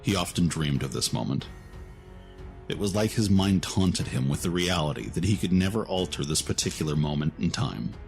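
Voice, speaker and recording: A man with a very deep voice reads a dramatic story as narrator. The delivery is scripted storytelling, and faint music plays behind him. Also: lively intonation, moderate pace, gravelly, darkish tone.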